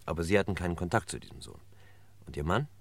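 Deep-voiced, courteous investigator. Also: male voice, sing-song, fast, creaky, slightly rough, full character voice. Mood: probing. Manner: polite, hesitant, probing. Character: courteous, probing male investigator questioning a widow. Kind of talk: German radio crime play acting.

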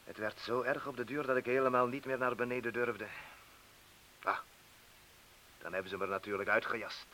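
An actor playing a rough, boastful yet fearful former miner in a radio play, talking gruffly and colloquially and prodding his listener. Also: medium pitch, steady tone, a little fast, long pauses, pushed and bright, natural voice, rough recording. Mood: weary confession. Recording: hissy old radio play recording.

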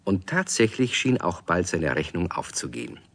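A man's voice: low-pitched, very animated, moderate pace, no room sound, exaggerated voice. A man narrating a children's radio play, speaking expressively and directly to young listeners as a friendly storyteller. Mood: storytelling.

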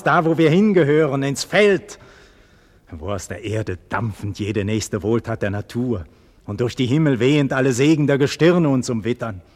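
A man playing a noble imprisoned hero in a 1940s radio play, declaiming a solemn classical monologue in a husky voice. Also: medium pitch, natural voice. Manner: solemn, declamatory, swelling from murmur to ardour. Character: noble imprisoned hero brooding on death and freedom.

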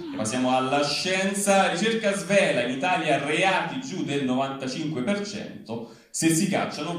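A man with a slightly high voice delivers a satirical newscast with mock seriousness. The recording is very echoey and distant, with faint noise, as at a live reading in a big reverberant space. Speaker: reader at a live public reading.

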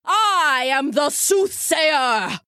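A woman voicing a pompous, theatrical female fortune-teller who intones grandly in a loud stage bellow. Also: high-pitched, big pitch swings, drawn-out words, dry recording, clean studio sound, voice pushed up, heavily acted. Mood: booming.

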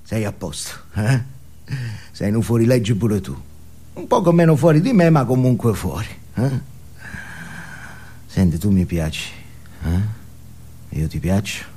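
A man's voice: lowish voice, sing-song, a little slow, pauses often, mellow, slightly rough, pitched below the performer's own voice, full character voice. A man playing a volatile, boastful, foul-mouthed drug-using outlaw in a theatrical stage monologue, badgering his listener. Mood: conspiratorial, quiet. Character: volatile swaggering male drug-using outlaw, manic and menacing.

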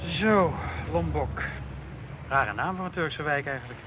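Male actor as police detective, lighter male pitch, dry humour, casual, blunt, radio play, noisy vehicle ambience. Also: measured pace, close to an everyday voice. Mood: dry, wry. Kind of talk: naturalistic radio play dialogue.

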